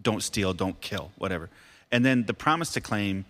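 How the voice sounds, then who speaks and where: Low-voiced male pastor explaining scripture. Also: lively intonation, normal speed, fairly bright tone, in a large hall. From United States. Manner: explaining, plain-spoken, laughs often. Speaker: teaching pastor, podcast co-host. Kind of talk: casual devotional Bible talk.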